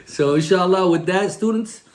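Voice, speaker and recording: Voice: mid-range voice, normal speed. A religious teacher giving children a lesson in simple phrases, asking questions and prompting them to repeat. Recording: clear background noise.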